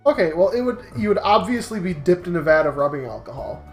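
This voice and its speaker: A woman's voice: on the low side, big pitch swings, quick pace, echoey room, faint music behind. A woman co-hosting a casual comedy chat, arguing silly points with playful, mock-serious reasoning. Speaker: podcast co-host.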